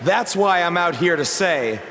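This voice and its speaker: An actor playing a defiant young rookie wrestling champion in a video game, making emphatic declarations. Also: male voice, high-pitched, animated, measured pace, bright, forceful tone, big-room sound, some chatter behind, slight background noise, full character voice. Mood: fed up, defiant.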